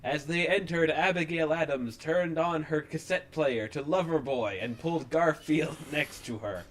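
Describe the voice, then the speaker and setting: A man's voice: on the high side, a little slow. A man reading comic fan fiction aloud as a mock-dramatic storyteller.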